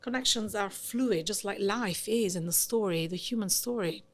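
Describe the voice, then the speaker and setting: A middle-aged Italian-accented woman speaks as a podcast guest. She is effusive and animated, spilling one thought into the next. Her pitch sits in the ordinary middle range for a woman. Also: moderate pace, crowd noise. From Italy. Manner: effusive, animated, talks in long run-on thoughts. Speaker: guest, company founder. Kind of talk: podcast interview conversation.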